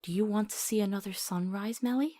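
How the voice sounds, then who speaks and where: A woman's voice: medium pitch, fairly quick, background noise, lightly acted. A woman playing a compassionate, earnest and stubborn companion to a monster hunter in a visual novel. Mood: gentle, soothing.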